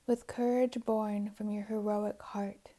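A woman narrates a guided meditation at a slow, unhurried pace. Her voice is relaxed and mellow, at a medium pitch. Phrases come with long pauses between them and trail off softly and breathily.

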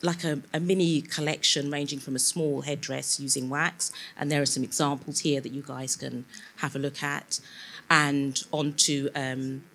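A woman presents her designs to a live audience. She pauses often and uses fillers as she talks through the pieces. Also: sits low, flat delivery, fairly bright tone. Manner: hesitant, with fillers.

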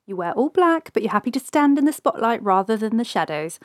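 A brash half-elf woman from a fantasy audiobook, acted by a man, speaks in a raised, high voice. She is streetwise and sardonic, tossing out blunt colloquial remarks. The sound is close and dry. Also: very animated, brisk, no echo. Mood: scornful. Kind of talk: audiobook character dialogue.

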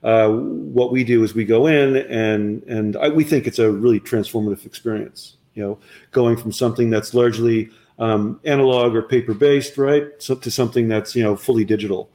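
A man's voice: medium pitch, steady tone, normal speed, mellow. A man answering interview questions about his company's platform, speaking eagerly and often repeating words as he restarts. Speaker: company representative. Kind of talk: conversational business interview.

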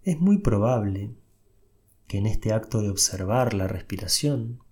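Meditation guide: a man, very slow and soft-spoken, with a breathy voice. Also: fairly low pitch, expressive. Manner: soft, unhurried instructions with long pauses.

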